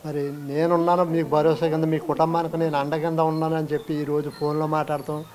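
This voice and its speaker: A man's voice: fairly high pitch, a little hiss or hum. A man making an earnest, pleading public appeal. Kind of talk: heartfelt public appeal to camera.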